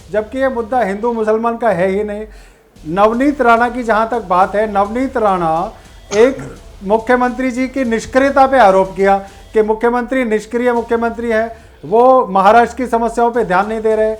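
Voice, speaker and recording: Male political spokesperson for a TV debate, firm, emphatic, explains his case point by point. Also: high voice. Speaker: party spokesperson.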